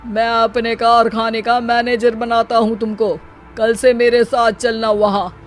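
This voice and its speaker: A woman's voice: a little high, flat delivery, a little slow, soft background music, close to an everyday voice. A woman voicing a bossy, jovial cartoon father-in-law with a teasing, commanding way of talking. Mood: approving, teasing.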